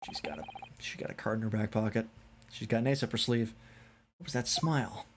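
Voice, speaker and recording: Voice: mid-range voice, fairly quick. A streamer giving casual, joking commentary with plenty of swearing between dialogue lines. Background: game music.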